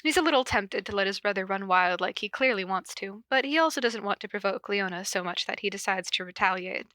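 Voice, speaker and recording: A woman's voice: mid-range voice, lively intonation, brisk, bright, forceful tone, dry recording. A woman podcast host cold-reading a story aloud, with casual, self-deprecating asides.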